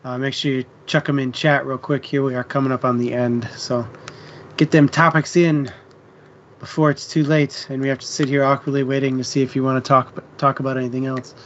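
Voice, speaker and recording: A man with a medium-pitched voice hosts a casual book chat, speaking informally as if to a live audience online. The audio is dull and lo-fi, with faint noise behind him. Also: level tone, fairly quick. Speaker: book club stream host.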